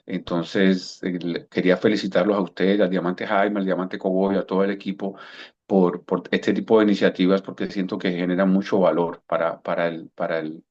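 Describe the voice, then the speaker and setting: A low-pitched man in his fifties with a Cuban accent worn smooth by years in Colombia, sharing his story on a video call. He talks in a reflective way, restarting phrases as he looks for words. The audio is dull and lo-fi. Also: level tone, a little fast, darkish tone. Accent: Cuban Spanish softened by years in Colombia. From Cuba. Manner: thoughtful, repeats words while searching for them. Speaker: guest coach. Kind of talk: relaxed storytelling interview answer.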